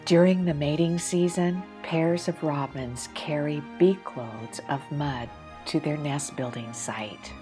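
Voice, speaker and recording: Calm, measured female narrator with a low voice, over loud background music. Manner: calm, measured, reflective reading. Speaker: artist narrating her drawing film. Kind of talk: scripted documentary narration.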